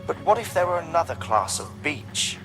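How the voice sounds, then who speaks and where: A man playing an erudite, deliberate scientist in a video game, explaining a grave theory with formal, precise phrasing. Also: a little high, sing-song, music in the background. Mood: grave, contemplative. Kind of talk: scripted dramatic game dialogue.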